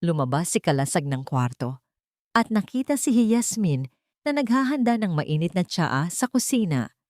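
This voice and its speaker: Lady narrating a drama audiobook, low voice, really dry close sound. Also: very animated, normal speed, a little mellow, dry recording. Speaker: audiobook storyteller.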